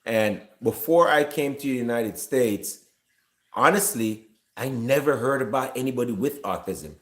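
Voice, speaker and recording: A man's voice: medium pitch, animated, moderate pace. A man giving casual, off-the-cuff reaction commentary in an emphatic, conversational way, often repeating himself. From Jamaica. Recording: roomy, echoing room sound.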